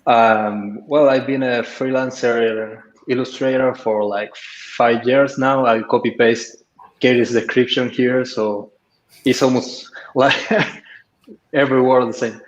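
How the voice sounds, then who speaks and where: A man's voice: medium pitch, fairly even intonation, slow pace. A man from Argentina with an Argentine accent, a native Spanish speaker, talking in a friendly, modest way as an illustrator on a panel. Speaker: guest, illustrator. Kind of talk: casual panel chat. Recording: roomy, dull lo-fi sound.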